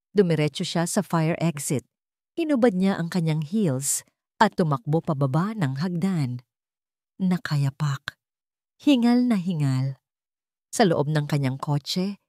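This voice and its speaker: A woman narrating a scripted romance story in a dramatic, measured way. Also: low voice, dark tone, no room sound, studio quality.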